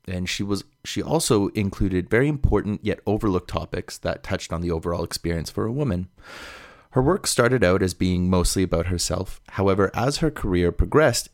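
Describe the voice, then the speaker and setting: Deep-voiced male podcast host, dry close sound. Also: no room sound. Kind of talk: conversational scripted introduction.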